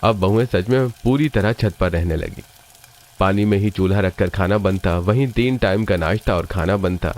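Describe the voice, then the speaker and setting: A man's voice: sits low, normal speed, a little mellow. A man narrating a scripted cartoon story as its storyteller.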